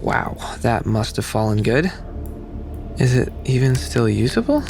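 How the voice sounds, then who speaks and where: A man's voice: medium pitch, very animated, measured pace, creaky. A man acting in an audio drama as a skeptical, uneasy true crime podcaster. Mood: dismissive, skeptical. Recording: music under dramatic dialogue.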